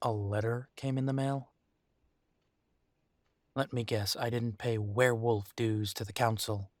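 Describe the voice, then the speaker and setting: A man playing a troubled, tender werewolf boyfriend in an intimate roleplay audio drama, talking haltingly with sighs. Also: mid-range voice, fairly even intonation, dry recording, studio quality, natural voice. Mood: concerned, puzzled.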